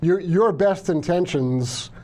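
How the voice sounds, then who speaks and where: Male host, fairly high but dark and mellow voice, earnest, stumbles on starts of his sentences. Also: slow pace. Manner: earnest, repeats words when starting sentences. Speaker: interview host. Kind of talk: political interview.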